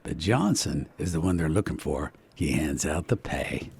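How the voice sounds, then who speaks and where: An actor playing an easygoing, watchful small-town doctor in a radio drama, with a West Virginia accent. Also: male voice, rumbling low, expressive, moderate pace, creaky, darkish tone, slightly rough, heavily acted. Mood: friendly, easygoing. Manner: folksy, conversational.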